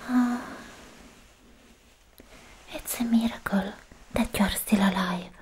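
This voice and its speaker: A young woman playing a lovestruck, bashful anime swordswoman in a coy, hesitant, breathy whisper for an ASMR roleplay, close to the microphone. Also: mid-range voice, slow, a little mellow, slight background noise, lightly acted, low-quality recording. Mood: tender, admiring. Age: young adult.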